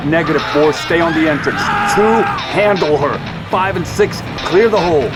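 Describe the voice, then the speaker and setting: An actor playing a steady, stern squad commander in a video game, controlled and terse. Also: male voice, fairly high pitch, moderate pace, loud music behind the voice, lightly acted, poor audio. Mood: commanding. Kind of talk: video game cinematic acting.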